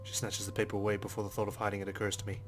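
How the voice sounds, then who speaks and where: A man's voice: lowish voice, monotone, rapid-fire. A man reading a visual novel aloud as a let's player, mixing scripted narration with casual commentary.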